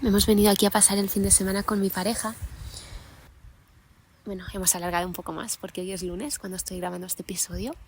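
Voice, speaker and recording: Woman, young adult, reflective unscripted monologue, outdoors, mid-pitched voice, faint background noise. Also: fairly even intonation, a little mellow. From Catalonia, Spain. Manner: thoughtful, unhurried, many pauses and restarts. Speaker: podcast host. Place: outdoors, sitting in a mountain meadow.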